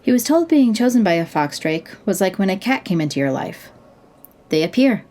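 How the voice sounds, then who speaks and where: A woman's voice: medium pitch, sing-song, normal speed, warm tone. A woman reading scripted narration for a fantasy audio story.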